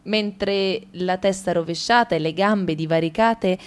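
A woman reading a comic monologue for radio theatre, ticking off lists briskly with ironic, playful emphasis. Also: lowish voice, clear, resonant tone. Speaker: radio drama reader.

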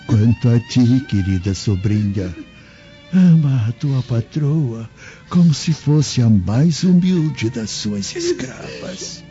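An actor playing a gentle, devout old man in a radio drama, speaking solemnly in a measured, preaching way. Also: medium pitch, big pitch swings. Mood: solemn, tender. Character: gentle, devout, steadfast elderly Samaritan uncle. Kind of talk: Brazilian radio drama acting. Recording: dull lo-fi audio, music behind.

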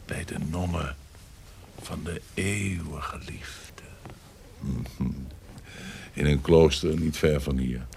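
A deep, gravelly scheming courtier. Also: male voice, takes it slowly, pauses often, a little hiss or hum, exaggerated voice. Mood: evasive. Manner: insinuating, savouring words, slipping into hushed tones. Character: scheming, lecherous male courtier and secret conspirator. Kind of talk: audio drama acting in Dutch period piece.

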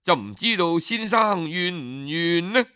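Guy doing a courteous envoy in an old chinese tale, eager, formal, slow clear delivery. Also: fairly high pitch, animated, pushed and bright, no room sound. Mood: courteous, persuasive. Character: eager, courteous male Qin envoy of ancient China.

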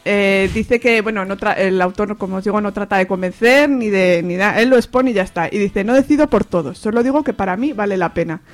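A woman's voice: mid-range voice, a little fast, hardly any pauses. A woman with an accent from Spain reviewing informally on the radio, repeating phrases and acting out quotes as she retells. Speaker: comics reviewer on a radio show.

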